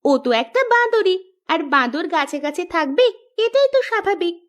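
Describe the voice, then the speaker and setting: A woman plays a teasing little village girl from a kids' cartoon. The voice is very high, with a mocking, quick-tempered way of bantering. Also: big pitch swings. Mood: teasing, scornful. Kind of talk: children's cartoon dialogue.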